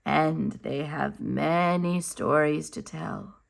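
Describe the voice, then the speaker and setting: A woman voicing a calm, wise older storyteller in a storybook, gently and patiently explaining. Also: low-pitched, takes it slowly, vocal fry, full character voice.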